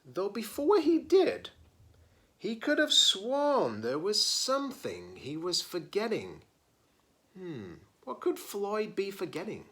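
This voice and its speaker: Male storybook reader, librarian style: highly varied pitch, slow delivery, dramatic pauses, playful chatty asides for children. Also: huge pitch swings, pushed and bright. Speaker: teacher-librarian reading a picture book aloud. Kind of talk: animated children's read-aloud with chatty asides.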